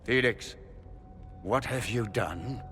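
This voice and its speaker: An actor playing a middle-aged, courtly and condescending magister, a scheming villain in a fantasy video game. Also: male voice, lowish voice, slow pace, a bit bright. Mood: guarded. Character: haughty, scheming Tevinter magister, smooth-talking villainous father. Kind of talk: dramatic video game acting. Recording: long hall reverb, music behind.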